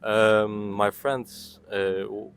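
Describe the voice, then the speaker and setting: A man's voice: fairly low pitch, flat delivery, very slow, gravelly, slight background noise. A young man from the Netherlands answering street interview questions, hesitant and full of fillers. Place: outdoors on a street.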